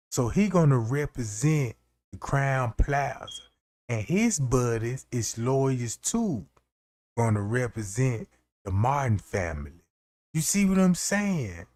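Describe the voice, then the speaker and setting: A man's voice: medium pitch, darkish tone. A man hosting a livestream, giving loose, slangy commentary and often asking "you know what I'm saying". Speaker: livestream host. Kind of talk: casual commentary on a case.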